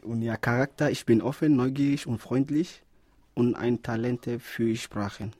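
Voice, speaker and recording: A nineteen-year-old man from Somalia speaks as a studio guest, choosing his words carefully and deliberately. His voice is dark and a little husky. The recording is dry and close. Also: medium pitch, no echo. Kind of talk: careful self-introduction in learned German. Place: radio studio.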